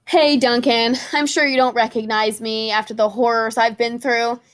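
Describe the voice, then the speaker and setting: A woman's voice: fairly high pitch, steady tone, normal speed, natural voice. A woman voicing a traumatized, chewed-up storybook crayon in a melodramatic, miserable way. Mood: horrified, woeful.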